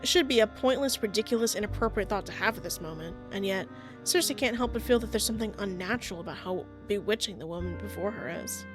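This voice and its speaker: A woman reading a visual novel aloud as narrator, breaking off with playful, self-mocking asides, with soft music behind. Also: medium pitch, quick pace. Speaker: gaming video creator.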